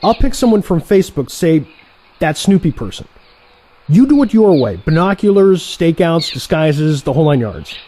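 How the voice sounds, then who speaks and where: A man playing an excitable, cocky younger assassin in an audio play, talking in an animated, wheedling, sarcastic way. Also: fairly high pitch, normal speed. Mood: challenging. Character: excitable, cocky younger assassin, male trainee turned rival.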